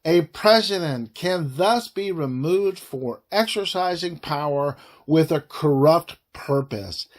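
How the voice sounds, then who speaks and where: A man reading a formal report aloud in deliberate phrases, with frequent breaks between them. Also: fairly high pitch, lively intonation. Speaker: reader of a government report.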